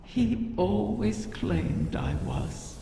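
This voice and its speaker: Slow, gravelly, echoing dragon spirit. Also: female voice, medium pitch, wildly swooping pitch, dark tone, exaggerated voice. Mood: wistful, resigned. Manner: slow, theatrical, lingering on words. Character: ancient female wish-dragon spirit, wistful, regal and sardonic. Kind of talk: scripted video game voice acting. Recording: cavernous echo, long reverb, background noise.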